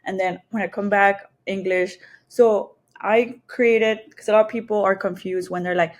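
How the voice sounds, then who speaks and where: A woman's voice: medium pitch, steady tone, moderate pace. A woman from the Texas and Mexico border with a Mexican Spanish accent, telling personal stories casually and candidly as a podcast guest, thinking aloud as she goes. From Texas and Mexico border region.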